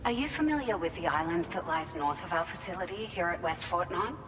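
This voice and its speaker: An actress playing a caring, chatty facility worker in a video game, speaking in a grateful, concerned, conversational way. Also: female voice, lowish voice, expressive, fairly quick, close to an everyday voice. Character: warm-hearted, concerned facility worker receiving cargo. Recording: long reverberant hall, clear background noise.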